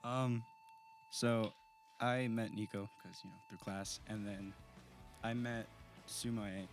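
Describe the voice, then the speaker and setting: A man's voice: fairly low pitch, takes it slowly, music in the background. A young man from the East Bay in his teens, the band's singer and guitarist, chatting casually and rambling with lots of fillers. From East Bay, California. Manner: relaxed, rambling with many fillers. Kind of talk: casual interview chat.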